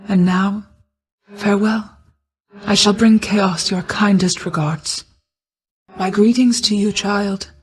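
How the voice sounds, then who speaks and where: A woman voicing an ancient goddess of night in a video game, a solemn, caring mother who speaks in a formal, measured, reserved way. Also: medium pitch, a little mellow, lightly acted. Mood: calm, grateful. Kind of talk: video game character dialogue.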